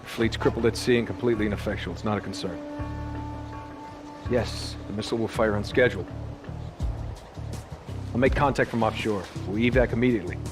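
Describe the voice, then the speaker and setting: A man's voice: on the low side, a little fast, pauses often, natural voice. An actor playing a cold, cynical male mercenary villain in a military game, curt, dismissive and sardonic. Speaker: villain giving orders. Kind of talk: cinematic military game acting. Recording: music behind the voice.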